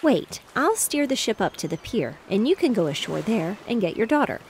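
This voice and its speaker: A woman reading aloud, voicing an excitable, bossy young boy who plays ship captain. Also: medium pitch, moderate pace, slight background noise. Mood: eager. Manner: eager and insistent.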